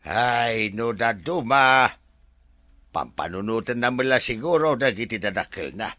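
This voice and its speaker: Bright, animated grumbling manservant. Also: male voice, mid-range voice, unhurried, no echo, studio quality. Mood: gossipy. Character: gossipy, grumbling male servant in a mansion. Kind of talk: Ilocano radio drama acting.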